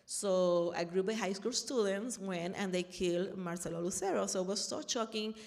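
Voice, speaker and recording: A woman's voice: mid-range voice, moderate pace, darkish tone. Middle-aged Puerto Rican woman, Spanish accent, earnest panel speaker; reverberant room. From Puerto Rico. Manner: earnest, searching for words, frequent restarts. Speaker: panel speaker, artist and activist. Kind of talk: personal talk at a panel.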